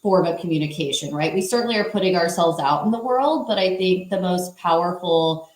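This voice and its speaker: Female panelist, fairly low voice, fluent and explanatory, roomy echo on the audio. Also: fairly quick. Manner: fluent, explanatory, often adds a questioning "right?". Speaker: panelist, former teacher. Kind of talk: conversational panel talk.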